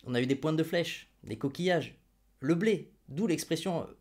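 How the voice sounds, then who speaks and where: Sardonic man with a higher voice. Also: moderate pace, a little mellow. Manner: ironic and mocking, then patiently didactic; addresses viewers directly with rhetorical questions. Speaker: video host explaining money and crypto. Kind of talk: opinion explainer to camera.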